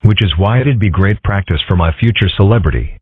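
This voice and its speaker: A man voicing deadpan, husky male animal characters in a comic strip dub. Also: low voice, brisk, no echo. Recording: muffled phone-like band.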